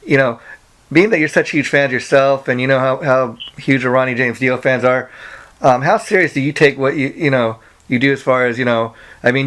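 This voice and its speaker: A man's voice: medium pitch, fairly bright tone, smooth. A man interviewing musicians casually over a phone call, rambling and often saying "you know" and "like".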